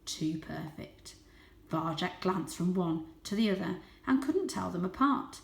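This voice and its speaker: A woman reading a children's book as dramatic narration, lingering on key words and hushing for suspense. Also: on the low side, expressive, unhurried, creaky. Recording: roomy, echoey sound.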